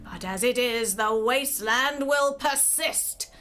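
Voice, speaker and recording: A woman's voice: a little high, slow pace, fairly bright tone. A woman playing a bitter, hideous hag in a theatrical drama, scolding and declaiming prophecies of doom. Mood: dire, prophetic. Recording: music under the voice.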